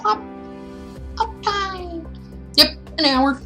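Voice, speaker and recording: A woman's voice: very high voice, lively intonation, extremely slow, bright, forceful tone, faint music behind. A woman chatting casually as a livestream host, rambling and musing aloud in an informal way. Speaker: streamer reading stories aloud.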